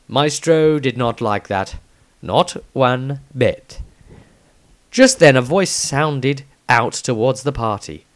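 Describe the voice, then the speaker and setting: A man reading his own fantasy story as scripted narration, with dramatic, deliberate phrasing. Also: medium pitch.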